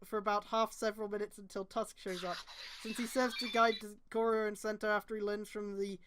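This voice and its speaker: A woman's voice: mid-range voice, level tone, a little fast, noisy background. A woman hosting a stream, reading fan fiction aloud with exasperated, mocking, sweary casual commentary.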